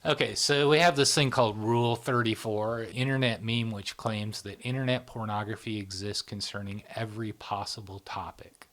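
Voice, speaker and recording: Low-voiced man explaining, asking "right?". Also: fairly even intonation, normal speed, hardly any pauses, a bit bright. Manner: explains in small steps, often ends with "right?". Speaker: podcast host. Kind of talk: casual psychology podcast chat.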